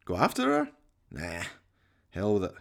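Man acting a hapless slacker guy, whiny, stammering, pleading, swooping pitch, gravelly edge, comic radio play. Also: huge pitch swings, unhurried, a bit bright, exaggerated voice. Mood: relieved, breezy. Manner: stammering, pleading, self-pitying.

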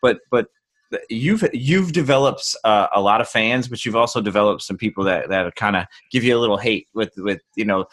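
A man's voice: fairly low pitch, normal speed, fairly bright tone. A man hosting a casual interview over a video call, joking loosely and restarting his sentences often. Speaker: podcast host.

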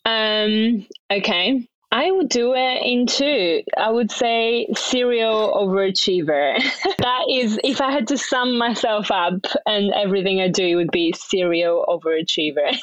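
A woman's voice: medium pitch, unhurried, no echo, dull audio. A young woman from Bulgaria, a podcast guest chatting in English as a second language, upbeat and laughing often between phrases. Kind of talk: casual interview chat.

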